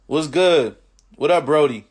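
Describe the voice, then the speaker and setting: A man's voice: on the high side, measured pace. A man hosting a casual interview, asking friendly questions in slangy speech with lots of "you feel me" and "you know". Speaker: interviewer and host.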